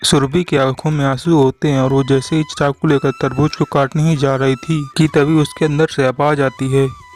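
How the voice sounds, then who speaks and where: A man narrates a cartoon story in a scripted storybook style. His pitch sits in the middle and moves little, with music playing behind him. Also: level tone, normal speed, a little mellow.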